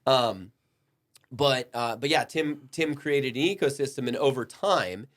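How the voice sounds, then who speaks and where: A man with a mid-pitched voice hosts a casual panel conversation. He banters with the others and takes his time laying out his points in full. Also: lively intonation, a little slow, fairly bright tone. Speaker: podcast host.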